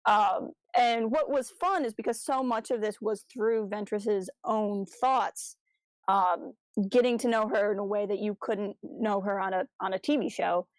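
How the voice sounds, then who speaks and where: A woman's voice: mid-range voice, fairly even intonation, measured pace, no echo, poor audio. A woman co-hosting a podcast, chatting casually in an enthusiastic, analytical way about stories. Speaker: podcast co-host. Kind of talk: casual discussion of a fan audio drama.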